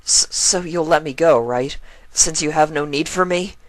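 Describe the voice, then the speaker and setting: An earnest young pony mare from a storybook, acted out by a man, pitched well above his natural voice. She is eager and anxious, talking things through aloud in a dry, close sound. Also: moderate pace, dry recording, close to an everyday voice, rough recording. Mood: nervous pleading.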